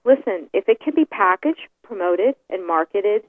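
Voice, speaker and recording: A woman's voice: medium pitch, expressive, moderate pace, dry recording. A woman guest in a casual interview, talking with lots of fillers and restarts over the phone. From New York. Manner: hesitant, many fillers and restarts. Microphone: telephone. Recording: tinny phone line.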